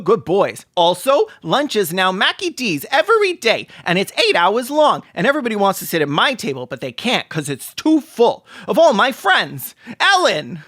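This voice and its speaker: Commentator putting on a cartoonish bully boy, raised pitch, loud, boastful, dim, old-movie bluster, comedy parody. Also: no room sound, clean studio sound.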